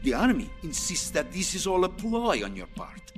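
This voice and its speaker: An actor playing an older, stern and weary Soviet premier in a video game cutscene, speaking in a measured, weighty, formal way. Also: male voice, sits high, sing-song. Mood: accusing, agitated. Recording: prominent dramatic background music.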